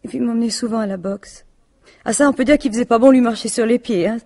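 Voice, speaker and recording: An actress playing a grieving, plain-spoken widow in a French radio detective drama, speaking haltingly. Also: female voice, a little high, a little mellow. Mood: indignant, proud. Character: grieving, indignant widow of a murdered businessman.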